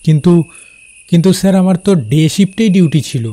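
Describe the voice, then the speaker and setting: A man's voice: high voice, brisk, mellow. A man with a West Bengal accent playing a friendly older-brother figure, a railway worker, in a cartoon ghost story. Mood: uneasy, pleading. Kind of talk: dramatized cartoon dialogue and storytelling. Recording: crickets and rain behind.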